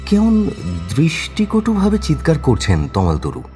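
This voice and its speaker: A man's voice: fairly high pitch, wildly swooping pitch, measured pace, mellow, faint music behind. A man narrating a scripted crime story in a dramatic storytelling style.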